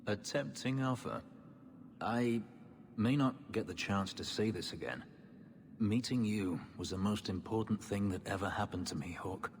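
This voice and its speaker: A man's voice: fairly low pitch, animated, moderate pace, big-room sound, slight background noise, lightly acted. An actor playing a brooding, devoted male warrior in a video game, with a solemn, intense delivery. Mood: tender, intense. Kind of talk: video game cutscene acting.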